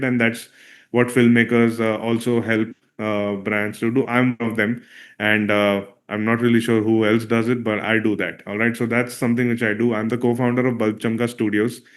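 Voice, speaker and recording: A man, a Marathi speaker, teaches a live online session with a medium-pitched voice. He talks loosely and conversationally, leaning on "uh" and asking "all right?" to keep his audience with him. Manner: conversational, frequent "all right?" checks and "uh" fillers. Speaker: filmmaker and workshop presenter. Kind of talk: live online workshop talk.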